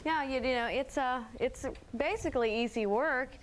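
A woman's voice: high voice, normal speed, a loud crowd. A woman in her twenties answering audience questions on a studio talk show, candid and easygoing. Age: 20s. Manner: easygoing, candid, laughs along. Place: TV studio stage.

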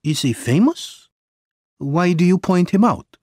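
A man's voice: high-pitched, animated, a little slow, darkish tone, husky, dry recording, studio quality, voice pushed up, exaggerated voice. Man voicing an anxious, dramatic Italian exile. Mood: puzzled, surprised. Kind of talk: audiobook character dialogue.

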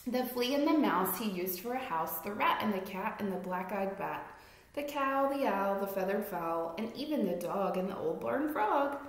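A woman in her thirties reading a children's picture book aloud expressively, deliberately stressing rhymes and putting questions to young listeners. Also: medium pitch, lively intonation. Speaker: read-aloud storyteller. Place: indoor room at home with fireplace mantel. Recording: echoey room.

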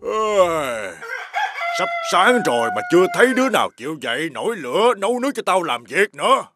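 A greedy, domineering older master in a cartoon folk tale. His fairly high voice swings widely as he scolds and orders, with music clearly behind him. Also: sing-song, a little fast. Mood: scolding. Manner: bossy, scolding, exaggerated. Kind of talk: animated folk tale dubbing.

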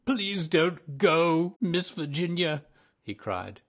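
A sorrowful, tired old ghost who has not slept for centuries, as a male actor plays him. The voice is husky and rather low. He drags each word out slowly. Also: fairly even intonation. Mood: pleading. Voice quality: husky, slow and dreamy. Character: lonely weary old male ghost, melancholy and meek. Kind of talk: audiobook drama reading.

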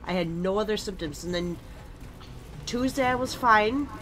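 A woman's voice: medium pitch, moderate pace, background noise. A woman streaming a game, chatting casually and rambling about having a cold, on a headset at home. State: has a cold, coughing. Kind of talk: casual gaming chat.

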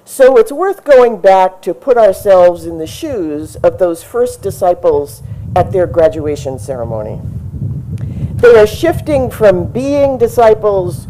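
A middle-aged woman pastor giving a measured, explanatory sermon at a lectern mic under an outdoor tent. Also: medium pitch, lively intonation, warm tone, slight background noise, low-quality recording. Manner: measured, explanatory preaching with emphatic rises. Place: outdoor tent service in front of a brick church. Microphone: stand mic at a lectern, far camera.

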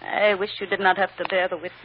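Actress as an anxious immigrant wife, muffled old radio. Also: female voice, mid-range voice, level tone, fast, background noise, lightly acted. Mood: sad, tender. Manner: earnest, emotional, stilted foreign phrasing. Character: devoted, anxious immigrant wife and mother, insistent, tender. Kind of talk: old-time radio drama acting.

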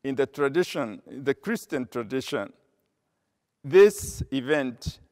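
Slow older male preacher in a reverberant church. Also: medium pitch. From Kenya. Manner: slow, measured delivery with frequent uh pauses, reading scripture aloud. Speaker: pastor. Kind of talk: sermon. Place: church sanctuary with a pulpit. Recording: long reverb of a church hall.

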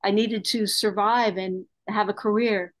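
A woman's voice: mid-range voice, steady tone, measured pace. An older woman talking about herself in an interview over a video call. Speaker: journalist interviewee. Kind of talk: interview talk about career. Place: home office, video call. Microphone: computer on video call.

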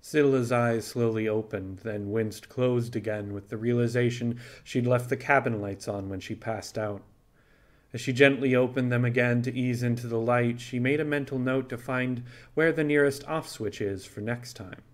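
A man's voice: mid-range voice, steady tone, normal speed. A man reading scripted fiction as an audiobook narrator, telling the story in prose.

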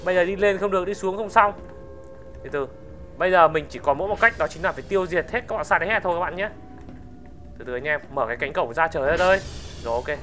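An excitable gaming video host giving exclamation-filled gameplay commentary. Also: mid-range voice, quick pace. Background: music.

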